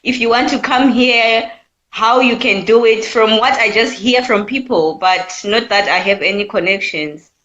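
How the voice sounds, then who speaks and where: A woman's voice: a little high, normal speed, fairly bright tone, highs cut off. Zimbabwean woman caller, echoey line. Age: 20s. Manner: earnest, repeats her points. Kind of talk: call-in opinion chat.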